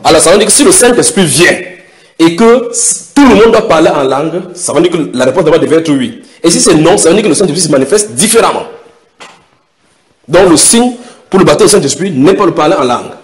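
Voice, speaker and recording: A man's voice: a little high, lively intonation. A man preaching a sermon, questioning the congregation and stretching out key words for emphasis. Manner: emphatic, questions the audience, drawn-out stresses.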